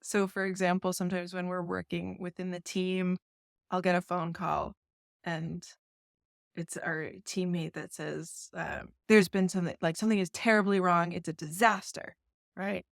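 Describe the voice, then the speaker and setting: A woman from New York, a festival founder, talking through an interview in a soft, diplomatic way and laughing often. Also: on the low side, flat delivery, moderate pace, gravelly, no echo, clean studio sound. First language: English. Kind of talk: casual interview chat.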